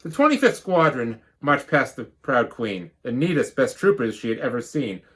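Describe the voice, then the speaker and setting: Animated man reading a storybook aloud. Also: medium pitch, normal speed. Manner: rhythmic rhyme reading, dropping into quiet explanatory asides. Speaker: teacher reading a picture book aloud.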